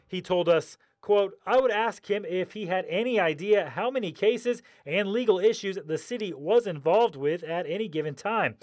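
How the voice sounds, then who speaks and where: A man's voice: sits high, normal speed, hardly any pauses, a little mellow. A man narrating a scripted television news report.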